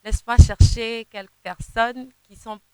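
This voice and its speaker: A young woman, a gospel singer whose first language is Kinande, answering interview questions in a halting way, often repeating words as she searches for them. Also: fairly high pitch, fairly even intonation, smooth, no echo. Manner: sings softly in places.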